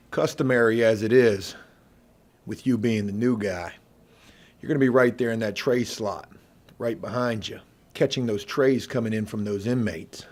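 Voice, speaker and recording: A man playing a middle-aged veteran inmate who bosses the prison kitchen, in an ASMR role play with a gravelly, husky voice and a folksy, intimidating manner. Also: lowish voice, close to an everyday voice. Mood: menacing. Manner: folksy, intimidating, drawn-out menacing delivery. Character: gruff, bossy veteran male prison inmate running the kitchen.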